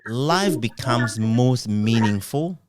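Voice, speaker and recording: A middle-aged man conducting a reflective, conversational interview in a sentimental, halting and thoughtful way. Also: medium pitch, expressive, slight background noise. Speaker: interviewer, journalist.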